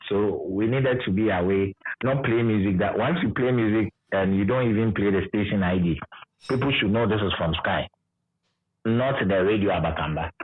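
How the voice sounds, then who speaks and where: Husky Ghanaian man on a phone-like line. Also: lowish voice, normal speed. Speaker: radio presenter. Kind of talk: reminiscing conversation. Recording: muffled, narrow phone-like line.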